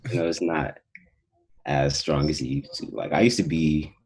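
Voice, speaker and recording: A young man from Houston talks as a podcast guest in a very deep, husky voice. He keeps things relaxed and slangy, and lets his phrases trail off. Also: moderate pace. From Houston, Texas. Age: young adult. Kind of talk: casual chat.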